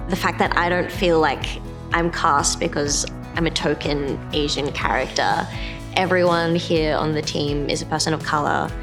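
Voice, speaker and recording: An earnest woman gives a personal interview in a low voice. Guitar music plays clearly beneath her words. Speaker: actor interviewed.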